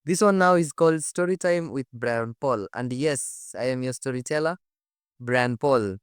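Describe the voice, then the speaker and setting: A man's voice: medium pitch, moderate pace, smooth, no echo. Kenyan man telling stories, dry close sound. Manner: emphatic, repeats phrases, rhetorical questions. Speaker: host, storyteller. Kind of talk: animated conversational storytelling to camera. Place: studio set.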